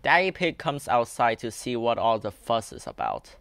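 A woman narrating a children's storybook aloud in a deliberate, simple storytelling manner. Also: a bass voice, big pitch swings.

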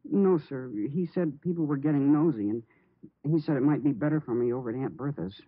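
An actor playing a nervous, polite teenage stepson in an American 1950s radio drama, earnest and hesitant. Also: male voice, medium pitch, a little fast, husky, no room sound, lightly acted. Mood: polite, troubled. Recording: muffled, narrow-band vintage radio recording.

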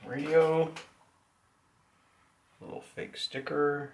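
A man casually demonstrating how to open and modify a radio at his workbench, talking slowly and haltingly to himself as he works. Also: on the high side, level tone, slight background noise. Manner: slow, halting, talks to himself while working. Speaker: hobbyist showing a radio modification. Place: indoors at a wooden workbench.

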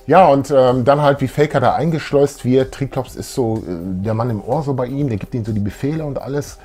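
A man in his thirties co-hosts a fan show in casual, colloquial speech. His voice is medium in pitch, and he talks with eager enthusiasm. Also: fairly quick, mellow, breathy edge. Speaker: co-host of a retro fan show. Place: small indoor room full of collectibles.

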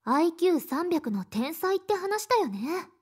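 A woman voicing a brisk, confident high-school girl with casual speech in an anime game. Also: very animated, darkish tone.

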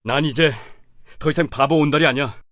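A man playing a poor, simple young woodcutter in a children's folktale, earnest and excitable. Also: fairly high pitch, a little fast, dry recording, clean studio sound, natural voice. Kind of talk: children's animated folktale acting.

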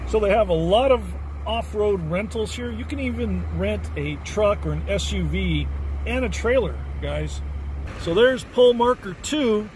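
A man in his forties narrating a casual travel vlog from inside a pickup truck, relaxed and rambling with lots of filler words. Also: high-pitched, unhurried, slight background noise. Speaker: RV travel vlogger. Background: background music.

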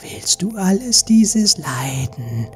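A woman playing a sinister, scheming sea witch in a fairy-tale audiobook, with a hoarse, breathy whisper and slow, ominous delivery. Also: lowish voice, sing-song, a little mellow, soft background music, exaggerated voice. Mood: ominous, warning.

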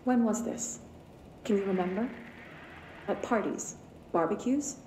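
A woman plays a calm therapist who probes with question after question in a dark, mellow voice. The room around her is echoey, with a faint hiss behind. Her tone stays level and patient. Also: mid-range voice, unhurried, close to an everyday voice. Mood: probing. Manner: probing, steady string of questions. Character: calm probing female therapist interviewer.

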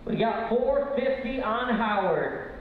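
A man's voice: very high-pitched, slow. A man calling bids at a live auction in a casual, slangy way. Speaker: auction bid caller. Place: large indoor auction room. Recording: very echoey hall, dull sound, faint noise.

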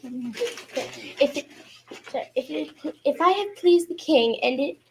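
A woman's voice: high voice, expressive, gravelly, a little hiss or hum, full character voice, rough recording. A woman playing a pleading queen in an amateur radio play, stumbling as she reads her script. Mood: hesitant pleading. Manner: halting, stumbling script reading.

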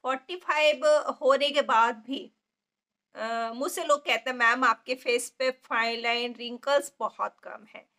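A woman's voice: fairly high pitch, fairly even intonation, a little slow, bright, forceful tone. A woman in her forties, a makeup artist, giving casual beauty tips to her viewers. She has a sore throat, uses lots of fillers and keeps checking that viewers follow along. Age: 40s. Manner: chatty and instructive, many fillers, frequent check-ins to viewers. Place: indoor beauty parlour with ceiling fan. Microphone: phone camera held close.

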